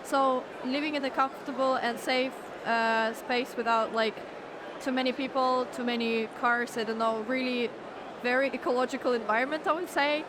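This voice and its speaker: A woman from Moscow, giving calm, considered answers. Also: high voice, normal speed, noisy background. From Moscow, Russia. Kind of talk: casual interview answers.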